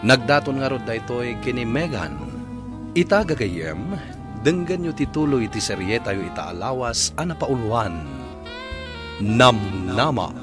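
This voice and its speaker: A man's voice: mid-range voice, expressive, unhurried. A man narrating a radio drama from a script as announcer, with music behind him. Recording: loud music bed under voice.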